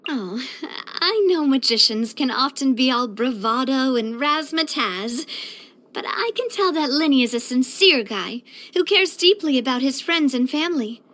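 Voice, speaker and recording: A woman voicing a bright, earnest, sociable young female leader in a video game, chatty and expressive. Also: high-pitched, moderate pace. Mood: cheerful, fond. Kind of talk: video game character voice lines.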